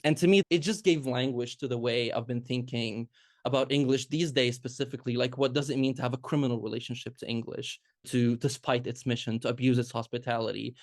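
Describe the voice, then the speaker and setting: A man's voice: mid-range voice, darkish tone, no room sound, studio quality. A man, an editor, reflecting earnestly and searchingly in a roundtable discussion over a video call.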